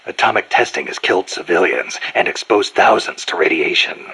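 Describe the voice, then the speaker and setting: An actor playing a gruff, stoic, battle-hardened male soldier in a video game, speaking tersely in a gravelly rasp. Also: sits low, expressive, fairly quick, pushed and bright, full character voice, low-quality recording. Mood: stern. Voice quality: gravelly, raspy.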